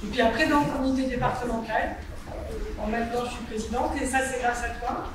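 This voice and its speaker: A woman's voice: fairly high pitch, steady tone, a little fast. Woman, sports official, hesitant tribute with fillers; distant, very reverberant, noisy recording. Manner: hesitant, many fillers, heartfelt. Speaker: judo committee president. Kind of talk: personal tribute speech. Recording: echoey hall, distant mic, audible noise.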